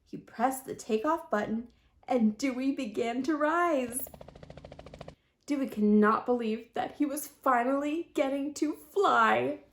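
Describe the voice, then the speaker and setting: A woman hosting a children's storytime, reading a picture book aloud with expressive delivery in her home library. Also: sits high, takes it slowly. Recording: steady background hum.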